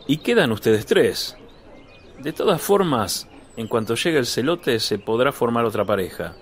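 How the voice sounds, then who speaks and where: A man's voice: medium pitch, sing-song, normal speed, natural voice. A man playing an eager, self-assured young male disciple in a radio drama, talking in a vivacious, insistent way. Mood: eager, assertive.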